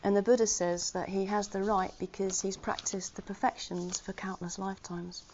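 A woman's voice: mid-range voice, level tone, moderate pace, a little mellow, slight background noise. A woman giving an informal Dharma talk to her Buddhist community. She speaks earnestly and conversationally, with self-deprecating humour. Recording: slightly muffled recording.

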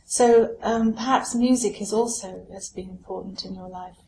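Woman asking questions, earnest, a bit gravelly, roomy echo. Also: mid-range voice, fairly even intonation, mellow. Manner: measured, earnest, slightly hesitant. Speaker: interviewer. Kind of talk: interview questions. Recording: echoey room with faint noise.

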